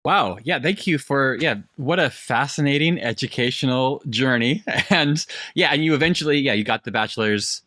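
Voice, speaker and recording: A man's voice: medium pitch, normal speed, hardly any pauses, bright, forceful tone, no room sound, clean studio sound. Affirming male podcast host. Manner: friendly, affirming, chuckles and loose phrasing. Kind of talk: conversational interview.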